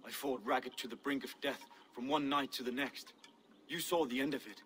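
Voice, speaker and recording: Guy doing a mystical viking dreamer, medium pitch, solemn n dramatic, video game scene, bit of background ambience. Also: steady tone, normal speed, fairly bright tone, slight background noise, close to an everyday voice. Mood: intense. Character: mystical Viking seer driven by visions, earnest. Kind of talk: video game cutscene dialogue.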